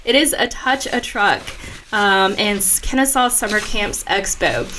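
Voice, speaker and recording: A woman's voice: mid-range voice, animated, measured pace, pushed and bright, a little hiss or hum, poor audio. A woman co-hosting a casual podcast, chatty, adding details and clarifying points. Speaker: podcast co-host. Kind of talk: casual chat.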